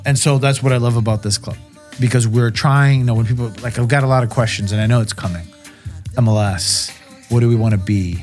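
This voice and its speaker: A man from Brazil talks about his soccer club in a relaxed podcast conversation. He speaks earnestly, circling back and repeating words as he works out his point. Faint music sits behind his voice. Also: mid-range voice, a little fast.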